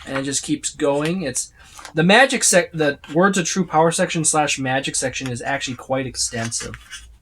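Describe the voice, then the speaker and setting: A man's voice: a little high, normal speed, a bit bright, slight background noise. A man giving a casual, unscripted walkthrough of a book, rambling and often listing items one by one. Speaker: tabletop gaming reviewer.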